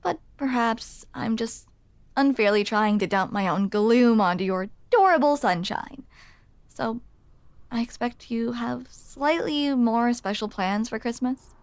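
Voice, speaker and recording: A woman's voice: fairly high pitch, a little slow, no room sound. A woman playing a grumpy but smitten barista in an intimate ASMR roleplay, hesitant, teasing and self-deprecating, close to the microphone. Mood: wry, softly explaining. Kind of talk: ASMR roleplay, intimate one-sided conversation. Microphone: close microphone, dry.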